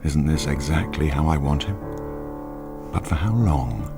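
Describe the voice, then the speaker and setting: A crime writer musing over his own plot in a radio drama. His voice is extremely low and gravelly, with a slow, brooding delivery full of questions to himself. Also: over music, heavily acted. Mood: brooding, intent. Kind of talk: intimate radio drama monologue.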